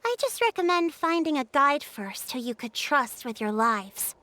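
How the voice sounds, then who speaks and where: A woman playing a courteous, earnest woman in a video game, speaking politely and formally with gentle encouragement. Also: high-pitched, big pitch swings, moderate pace, heavily acted.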